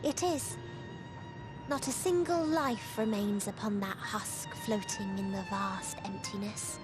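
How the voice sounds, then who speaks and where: An actress playing a solemn otherworldly woman who speaks of the end of the world, in a grave, measured game cutscene delivery. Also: medium pitch, over music, lightly acted.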